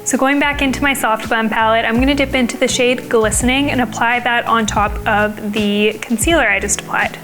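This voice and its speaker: Young woman kinda raspy from a cold, chatty makeup tutorial talk, higher voice, music playing under it. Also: fairly even intonation, fairly quick, few pauses. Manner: casual, chatty step-by-step explaining. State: slightly raspy, getting over a cold. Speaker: beauty vlogger.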